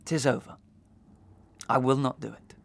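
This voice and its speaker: A man playing an anguished, haunted gentleman in a Victorian melodrama soliloquy, declamatory, halting and overwrought, over some background noise. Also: medium pitch, fairly even intonation, creaky, natural voice. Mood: despairing. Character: anguished, haunted gentleman, despairing and half-mad.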